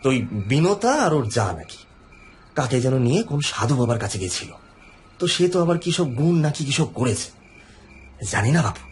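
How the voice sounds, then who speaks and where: An actor playing a harried, placating, gossipy husband in an audio horror drama, speaking colloquially and hesitantly with sighs and fillers. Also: male voice, mid-range voice, lively intonation, fairly quick, a little hiss or hum, close to an everyday voice. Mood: uneasy, gossipy. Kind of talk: Bengali audio horror story acting. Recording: background music under the voice.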